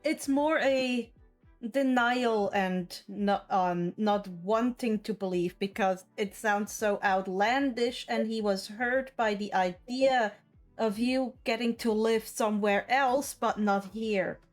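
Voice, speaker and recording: A woman's voice: medium pitch, takes it slowly, soft background music. A woman game master casually explaining what happens in a tabletop role-play session.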